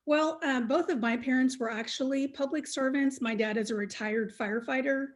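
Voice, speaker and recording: A middle-aged woman with an American accent and a fairly high voice speaks as a panelist. Her style is conversational, telling about herself to the group. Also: normal speed. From United States, Texas.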